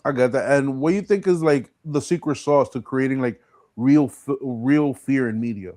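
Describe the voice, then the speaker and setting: A man hosting an informal interview, asking questions in a halting, conversational way with lots of filler words. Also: medium pitch, warm tone, dry recording, studio quality. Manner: halting questions padded with "like" and "you know". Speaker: podcast host. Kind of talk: casual interview chat.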